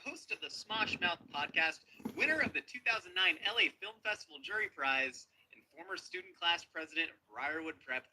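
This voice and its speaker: A man's voice: sits high, sharp tone, breathy edge, poor audio. A man hosting an interview show in a playful, conversational style. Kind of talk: playful scripted intro and casual interview.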